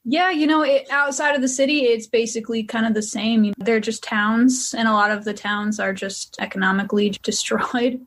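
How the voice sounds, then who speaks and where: A woman's voice: fairly high pitch, normal speed, hardly any pauses. A young woman from Western Massachusetts, a musician guest chatting candidly and casually in an interview. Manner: relaxed, chatty, filler-heavy, candid.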